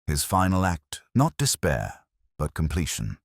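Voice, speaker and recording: A man narrating a first-person detective story in a measured, solemn, literary manner. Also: low voice, huge pitch swings, vocal fry, no room sound, studio quality.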